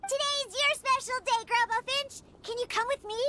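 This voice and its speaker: A woman's voice: very high-pitched, fairly even intonation, moderate pace, background noise. An actress playing a small, earnest, bossy young girl in a video game, insistent and determined.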